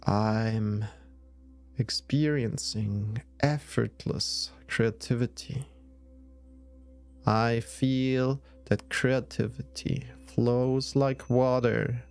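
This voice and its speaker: A man leading a guided yoga nidra meditation in a soothing, measured way with long pauses. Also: mid-range voice, fairly even intonation, a little mellow, faint music behind.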